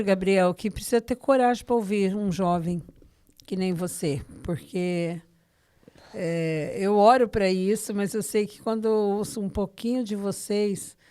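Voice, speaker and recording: A woman's voice: medium pitch, darkish tone, clear, resonant tone. A woman with a Brazilian accent hosting a podcast from her living room, talking conversationally with devout enthusiasm and frequent tag questions. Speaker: podcast host. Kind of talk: conversational podcast introduction of a guest.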